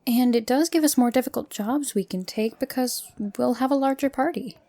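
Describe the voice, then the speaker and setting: A woman playing a calm, reasonable adventurer in a fantasy audio drama, measured and diplomatic. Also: high-pitched, no echo. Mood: persuasive. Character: calm, reasonable female adventurer organising the party.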